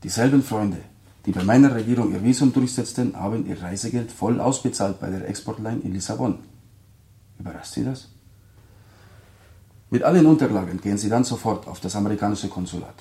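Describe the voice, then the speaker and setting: A man acting a brisk, dryly ironic consular official, formal and instructing, in a German radio drama. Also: lowish voice, dark tone, reverberant, lightly acted.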